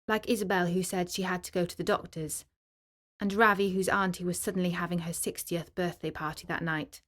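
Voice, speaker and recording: Expressive woman reading a children's story. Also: mid-range voice.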